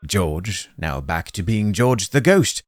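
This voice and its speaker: A male storyteller narrates a fantasy tale in a low voice. His delivery is slow and dramatic, with very wide pitch swings. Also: very animated, no echo.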